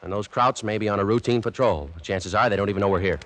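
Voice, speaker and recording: A man's voice: on the low side, fast talker, fairly bright tone, close to an everyday voice. A man acting a tough, weary American army lieutenant in a 1940s radio drama, clipped, commanding and hard-boiled. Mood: brisk, commanding. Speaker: lead actor and first-person narrator. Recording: noisy vintage radio recording.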